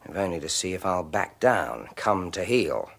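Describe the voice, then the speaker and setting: An actor playing an obsessive, sardonic scientist with a British accent in a radio drama, speaking tersely and intensely. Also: male voice, low-pitched, sing-song, normal speed, creaky, husky, full character voice. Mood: irritated, defensive. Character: obsessive scientist husband, brooding, bitterly sardonic new father. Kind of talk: 1980s radio drama acting.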